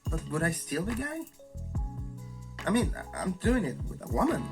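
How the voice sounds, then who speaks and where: A man with a raspy, flu-hoarse voice hosts a candid, thoughtful educational monologue. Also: high voice, huge pitch swings, over music. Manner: thoughtful, candid, slow and searching. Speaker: video host.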